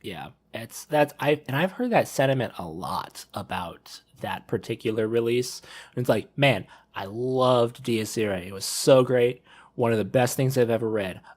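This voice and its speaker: A man's voice: mid-range voice, expressive, moderate pace, darkish tone. A man in a casual panel discussion, talking in a rambling, enthusiastic fan's way as a podcast host.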